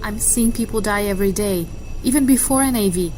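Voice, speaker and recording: An actress playing a firm but caring hospital worker in an audio drama. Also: female voice, medium pitch, brisk, noisy background, close to an everyday voice. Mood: consoling.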